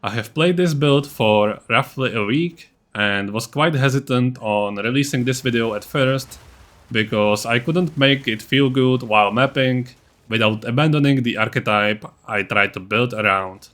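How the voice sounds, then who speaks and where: A man's voice: mid-range voice, a little slow, fairly bright tone. Casual man explaining a game build. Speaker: gaming video creator.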